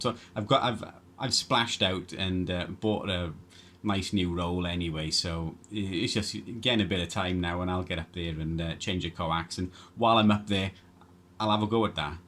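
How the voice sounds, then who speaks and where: A man's voice: deep voice, normal speed, a bit bright, a little hiss or hum. A man chatting casually as an amateur radio operator, hesitant and rambling as he goes. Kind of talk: casual two-way radio chat.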